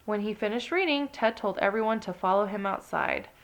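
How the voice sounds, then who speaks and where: A woman narrating a children's read-along story with expressive, dramatic emphasis. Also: medium pitch, a little fast.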